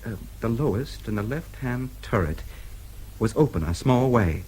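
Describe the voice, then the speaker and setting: A man's voice: mid-range voice, expressive, normal speed, warm tone, slight background noise, natural voice, low-quality recording. An actor playing an eager, shrewd newsman in a radio drama, informal and protective in manner. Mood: excited, musing. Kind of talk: British radio drama acting.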